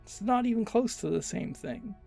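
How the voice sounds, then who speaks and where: A man's voice: very high-pitched, normal speed, creaky, darkish tone, soft background music. A Canadian man from Alberta commentating a live game stream and chatting with viewers in a loose, rambling, self-deprecating way. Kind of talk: live gameplay commentary while reading chat.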